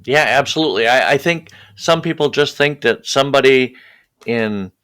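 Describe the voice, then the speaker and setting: A man hosting a podcast, talking in an earnest, halting way and often repeating his words. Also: mid-range voice, a bit bright, smooth. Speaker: podcast host. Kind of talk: conversational interview.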